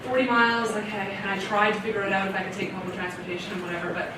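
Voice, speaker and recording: A woman from Canada giving a casual question-and-answer talk to a library audience. She is chatty and animated, laughs often and acts out her own reactions. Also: mid-range voice, racing. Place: library meeting room with audience. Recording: echoey hall audio from far off with loud room noise.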